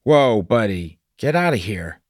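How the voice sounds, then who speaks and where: A man's voice: fairly low pitch, fairly even intonation, fairly quick, dry recording, clean studio sound, voice pushed up, lightly acted. A man voicing Doug, a rattled man who talks in a raised, jittery voice.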